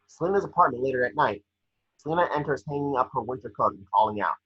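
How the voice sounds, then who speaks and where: A man's voice: medium pitch, lively intonation, quick pace, a bit bright, slightly rough. A man reading scripted scene descriptions as narrator, stumbling now and then. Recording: echoey, muffled home microphone.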